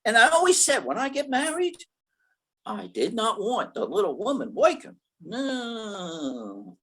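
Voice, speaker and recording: A man's voice: sits high, wildly swooping pitch, a little slow, a bit bright, heavily acted. A performer playing a dim, lovestruck male gangster in an old-time radio play, stammering and bumbling in slangy talk. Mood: lovestruck, flustered.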